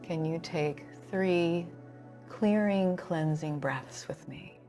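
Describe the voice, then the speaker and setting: A woman leading a guided relaxation as a yoga instructor, soothing and unhurried, with pauses between phrases. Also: fairly low pitch, warm tone, soft background music.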